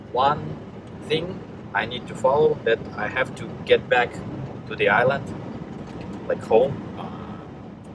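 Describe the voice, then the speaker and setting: Russian-accented man, halting, loud truck noise. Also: lowish voice. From Kazakhstan. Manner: halting, searching for words in a second language. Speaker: truck driver vlogger. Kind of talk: casual vlog narration. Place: at a truck yard and in a truck. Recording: loud vehicle and outdoor noise.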